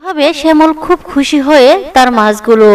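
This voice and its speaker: High-pitched woman narrating a story. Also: a little fast, far from the mic. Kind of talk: scripted children's story narration.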